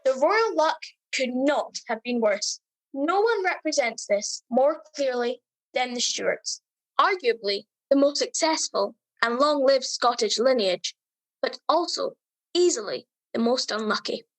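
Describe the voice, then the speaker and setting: Woman playing an earnest, high-voiced history presenter. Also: expressive, a little slow, poor audio. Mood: mock-grave. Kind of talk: scripted comedy history narration.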